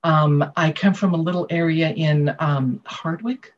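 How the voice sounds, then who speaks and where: An older American woman with a husky voice, answering interview questions with reflective storytelling. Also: low voice, fairly even intonation, measured pace. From Massachusetts, United States. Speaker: guest author. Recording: dull, muffled lo-fi audio.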